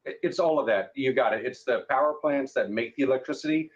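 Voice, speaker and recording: A man's voice: mid-range voice, fast. A man explaining things in plain language as an expert interviewee, methodical and step by step, on lo-fi audio.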